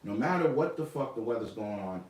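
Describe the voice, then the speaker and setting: A man's voice: mid-range voice, expressive, a little fast, darkish tone, far from the mic. A man hosting a podcast, talking in a casual, emphatic and profane way. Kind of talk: casual chat. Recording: echoey room, off-mic, faint noise.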